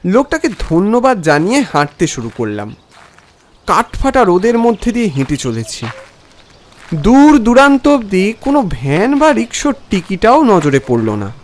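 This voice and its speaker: A man narrating a scripted first-person story in a dramatic, expressive way. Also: sits high, normal speed.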